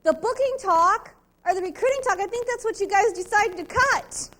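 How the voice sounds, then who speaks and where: A middle-aged woman, giving an upbeat, precise and animated sales training talk at a convention. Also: extremely high pitch, normal speed.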